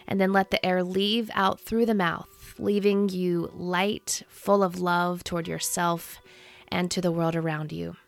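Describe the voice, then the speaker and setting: A woman in her thirties leading a guided meditation in a soothing, gentle, unhurried manner. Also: fairly low pitch, fairly even intonation, gravelly. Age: 30s.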